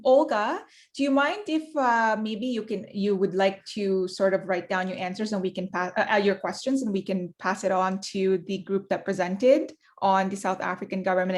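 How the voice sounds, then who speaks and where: A woman chairing an online academic panel over a video call, hesitant and apologetic, with light laughs. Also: mid-range voice.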